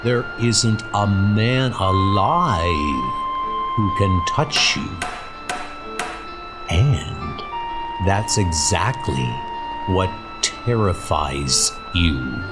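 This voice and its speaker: A man giving dramatic scripted narration for a comic book, ominous and deliberate. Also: deep voice, lively intonation, over loud music.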